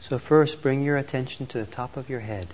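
A man teaching meditation, gently and unhurriedly guiding a group with pauses between instructions. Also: medium pitch. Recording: dull lo-fi audio with clear background noise.

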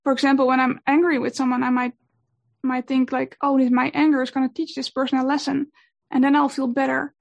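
A woman whose first language is Dutch gives a gentle, unhurried talk on meditation, pausing often with fillers. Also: high-pitched, flat delivery, gravelly. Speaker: meditation teacher.

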